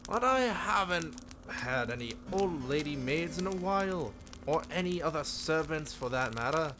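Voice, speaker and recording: A man's voice: fairly high pitch, expressive, moderate pace, over music, lightly acted. A man voicing a cheerful, sheltered young princess in a visual novel, with an airy, regal, slightly formal manner. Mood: wistful. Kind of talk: visual novel character read-aloud.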